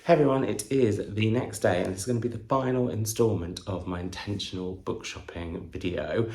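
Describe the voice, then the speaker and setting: A man's voice: fairly low pitch, brisk, a little mellow. A man chatting to camera in a book vlog, effusive and full of wandering asides and questions to himself. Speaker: book vlogger, channel host. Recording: roomy indoor echo.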